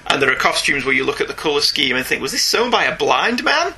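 Man, Northern English accent, higher-pitched, lively intonation, casual podcast host, jokey asides. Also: fast, husky. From northern England. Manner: jokey, animated, digressing into asides. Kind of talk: casual comics chat and reading listener emails aloud.

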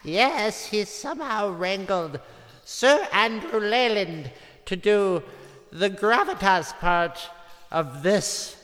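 An actor playing a cackling, tipsy old horror host with a theatrical, drawn-out, campy delivery. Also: male voice, sits high, expressive, a bit bright, full character voice. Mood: gleefully macabre. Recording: long cavernous reverb.

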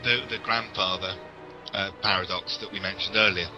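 A man co-hosting a podcast, giving detailed but casual explanations and opinions in a slightly halting way. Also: deep voice, fairly even intonation, dull audio, music in the background. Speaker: podcast co-host.

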